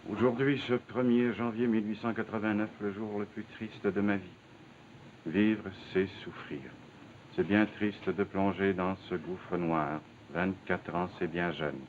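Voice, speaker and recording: A man plays a solemn bourgeois father in a 1950s television drama. His voice is deep and his diction is grave and theatrical. The old soundtrack is dull, with a faint hiss. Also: fairly even intonation, unhurried, lightly acted. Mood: mournful reading.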